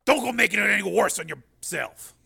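Guy doing a cocky marshal for an audiobook, blunt, impatient, high sharp voice. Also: lively intonation, fairly quick, creaky, husky, exaggerated voice. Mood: cocky, threatening. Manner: blunt, exasperated. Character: blustering, impatient male marshal, cocky lawman.

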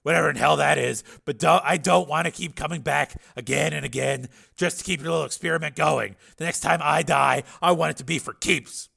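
A man voicing a hard, bitter cyborg man in an audiobook, gravelly and forceful, pressing with challenging questions. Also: a little high, fairly quick, hardly any pauses, voice pushed up, heavily acted. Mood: bitter, defiant.

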